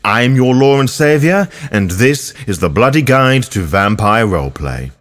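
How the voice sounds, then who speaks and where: A man's voice: medium pitch, sing-song, moderate pace. A man hosting a guide, delivering scripted explanatory narration in a theatrical, florid manner. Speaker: host of a roleplaying guide show.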